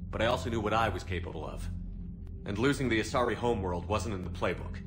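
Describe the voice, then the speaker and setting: A man's voice: on the low side, fast, music in the background, lightly acted. A man voicing a stern starship commander. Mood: resolute. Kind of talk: video game cutscene dialogue.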